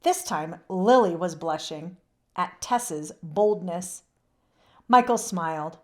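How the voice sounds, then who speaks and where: A woman narrating a children's book aloud in an expressive storybook style. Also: lowish voice, slow pace.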